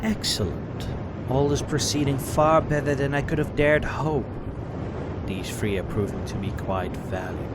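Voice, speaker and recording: A man's voice: medium pitch, expressive, moderate pace, heavy background noise, close to an everyday voice. Man playing pompous, vengeful mad-scientist wizard. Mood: smug. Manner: pompous, menacing, theatrical. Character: arrogant, vengeful male mad-scientist wizard, obsessed with vindication. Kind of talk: comic-book dub acting.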